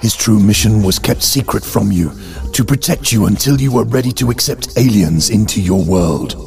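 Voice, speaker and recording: An actor playing a pompous alien android who poses as a benevolent deity, speaking formally and grandly in an audio drama. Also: male voice, fairly low pitch, moderate pace, creaky, a little mellow, faint music behind. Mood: solemn, grandiose.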